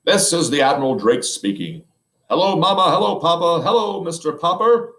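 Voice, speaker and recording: A booming expedition admiral, put on by a man reading a storybook hails listeners over the radio. He proclaims heartily with a swinging pitch, in an echoey room. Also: expressive, normal speed, fairly bright tone. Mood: hearty. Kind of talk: reading aloud a children's book.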